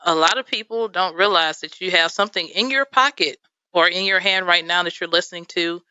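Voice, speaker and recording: Bright, crisp woman guest, Texan. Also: lowish voice, steady tone, brisk, no echo, lo-fi sound. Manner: chatty, many fillers, laughs easily. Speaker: videographer guest. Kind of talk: radio interview. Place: radio studio.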